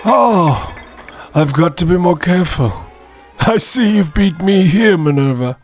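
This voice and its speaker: A man's voice: sits high, animated, unhurried, over loud music. A man voicing a forgetful, pompous old wizard headmaster in a comic audio story. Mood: fussy.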